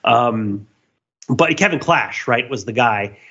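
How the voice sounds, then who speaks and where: A man's voice: medium pitch, lively intonation, slow pace, sharp tone, breathy edge, highs cut off. A man in a casual, analytical podcast discussion, rambling as he thinks aloud in wordy, intellectual phrases and often repeating himself. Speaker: podcast host.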